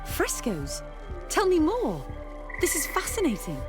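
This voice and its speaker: An earnest nun and relic scholar in a fantasy game. Her voice sits fairly high and speaks with fervour, over clearly audible music. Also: huge pitch swings, measured pace, heavily acted. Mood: eager. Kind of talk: scripted fantasy game dialogue.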